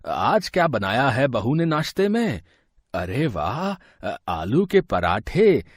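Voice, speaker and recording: An actor playing a kindly, easygoing older father-in-law with a husky voice, affectionate and reassuring, in a family story. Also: medium pitch, sing-song, takes it slowly, no echo, clean studio sound. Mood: affectionate, cheerful.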